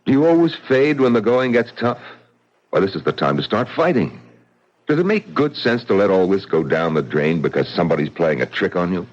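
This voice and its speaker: An actor playing a blunt, forceful middle-aged businessman and widower in a vintage radio mystery. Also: deep voice, big pitch swings, fairly quick. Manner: blunt and forceful, speaks his mind. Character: blunt, tough-minded male businessman widower. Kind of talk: vintage radio drama acting. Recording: dull old radio broadcast.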